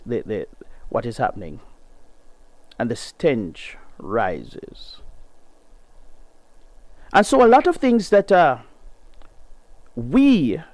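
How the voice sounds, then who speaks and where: A man's voice: darkish tone, dry recording. A middle-aged Jamaican man hosting a gospel radio show, reading an article aloud and then speaking earnestly and emphatically. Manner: earnest, emphatic, rises in pitch to stress points. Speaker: radio host.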